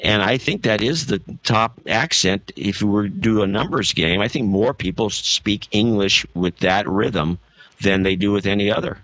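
A man's voice: on the low side, moderate pace, a bit bright, no room sound, low-quality recording. A middle-aged man co-hosting a podcast in easygoing, rambling casual chat. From US West Coast. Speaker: podcast co-host.

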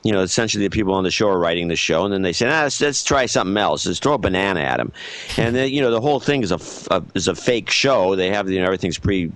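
Opinionated low-voiced man chatting. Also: lively intonation, rapid-fire, a bit bright, low-quality recording. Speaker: podcast co-host. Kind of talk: casual chat.

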